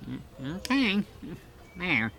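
Naive janitor trainee for comedy skit, played by a man: high, very bright, questioning male, faint noise behind. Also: big pitch swings, extremely slow, gravelly, exaggerated voice. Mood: obedient. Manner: hesitant, questioning. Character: naive, puzzled, obedient male janitor learning grilling. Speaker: comic sidekick.

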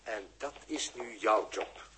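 A man's voice: fairly low pitch, pushed and bright, a little hiss or hum. A man acting a confident space crew leader, prodding and instructive, in a 1960s radio drama. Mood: insistent. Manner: brisk, instructive. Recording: old 1960s radio recording.

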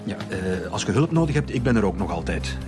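A pompous Flemish police director in a radio drama. He talks quickly and fussily, full of self-importance, with clear music behind him. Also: fairly low pitch, big pitch swings. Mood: hurried, bossy. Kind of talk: naturalistic radio drama acting.

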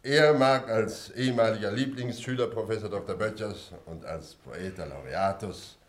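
A man's voice: on the low side, normal speed, close to an everyday voice. A man playing a pompous company director in a radio play, giving a formal, stilted, ceremonious speech.

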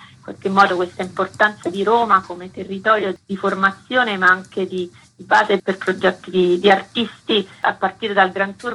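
A woman's voice: mid-range voice, fairly bright tone, slightly rough, poor audio. A woman explaining a project in long, flowing sentences as a guest in a radio interview.

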